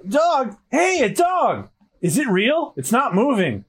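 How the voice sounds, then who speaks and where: Young male pirate hero, acted by a man, high, animated, excitable, impulsive, yelping, comic storytelling. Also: moderate pace, slightly rough, exaggerated voice. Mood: surprised.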